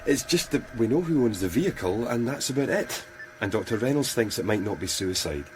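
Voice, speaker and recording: An actor playing a polite, slightly put-upon police detective in a radio drama, hesitant and mild. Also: male voice, mid-range voice, expressive, a little fast, noisy background, lightly acted. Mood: bemused.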